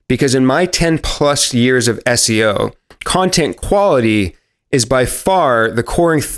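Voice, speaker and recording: A man hosting a podcast, upbeat and friendly as he puts questions to his guest. His voice sits in an ordinary middle pitch. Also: fairly bright tone. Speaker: podcast host. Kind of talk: podcast interview.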